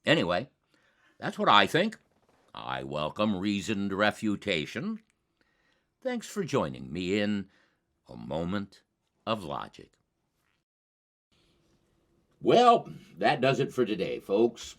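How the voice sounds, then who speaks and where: A man hosting a show, delivering a scripted commentary monologue in deliberate, rhetorical phrases with dramatic emphasis. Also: lowish voice, wildly swooping pitch, a bit bright. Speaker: host of a climate commentary show.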